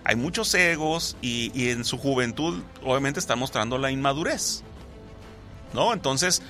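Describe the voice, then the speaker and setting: Animated man with a bright voice. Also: medium pitch, measured pace, soft background music. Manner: animated, explains at length, many fillers and restarts. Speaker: sports talk co-host. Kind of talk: casual football chat.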